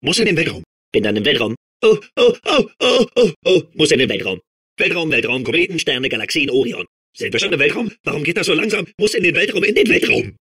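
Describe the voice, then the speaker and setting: A man playing a manic, childlike robot sphere obsessed with outer space in a video game, babbling and repeating himself in a strained, husky voice. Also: fairly high pitch, quick pace, heavily acted. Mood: frantic, eager. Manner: excitable, babbling, repeating words compulsively. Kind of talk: video game character voice clips.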